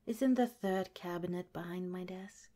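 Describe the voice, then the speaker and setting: A woman voicing a gentle, caring female head scientist of a secret underground lab, speaking in a soft, slow whisper. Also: lowish voice, lightly acted. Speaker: ASMR roleplay performer. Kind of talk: ASMR roleplay.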